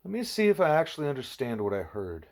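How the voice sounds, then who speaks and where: A man's voice: mid-range voice, huge pitch swings, fast, a little mellow, voice pushed up. Man reading as an inquisitive, frank young girl pupil. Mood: earnest, probing. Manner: questioning, summing up to check understanding.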